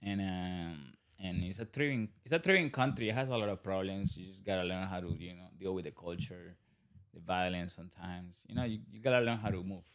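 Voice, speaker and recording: A man's voice: sits low, a little fast. A man in his thirties from Colombia with a Colombian accent, a podcast guest talking casually and rambling with restarts. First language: Spanish. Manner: rambling, restarts, laughs often. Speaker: podcast guest, business owner. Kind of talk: casual interview talk.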